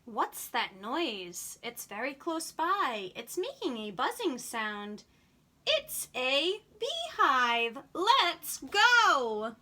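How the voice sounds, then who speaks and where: A woman reading a picture book aloud to young children in an animated, sing-song, expressive way. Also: high voice, extremely slow.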